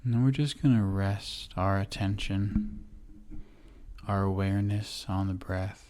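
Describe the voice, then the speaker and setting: A man guides a relaxation exercise in a deep, soft-edged, mellow voice. He speaks very slowly and gently, in short phrases. Long, soothing pauses separate each instruction. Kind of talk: guided meditation, body scan.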